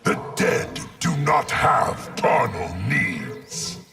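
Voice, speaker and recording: Slow, gravelly undead collective of souls. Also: male voice, medium pitch, sing-song, over loud music, full character voice. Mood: menacing. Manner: formal, declamatory, speaks as we. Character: undead construct of many souls, solemn and vengeful. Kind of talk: fighting game character dialogue.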